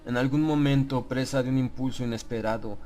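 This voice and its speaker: A man reading a first-person horror audiobook as narrator, with dramatic, measured storytelling and deliberate pauses. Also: medium pitch, steady tone, warm tone, a little hiss or hum.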